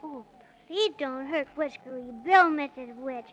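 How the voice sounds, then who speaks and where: A woman's voice: high-pitched, a little slow, fairly bright tone. A woman playing a sweet, pleading six-year-old boy in a 1930s radio drama, on a noisy old recording.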